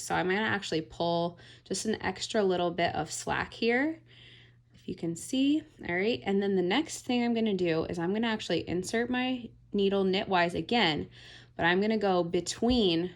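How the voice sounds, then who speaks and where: A woman giving a relaxed, step-by-step knitting tutorial, often checking in with "all right?". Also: mid-range voice. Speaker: knitting instructor.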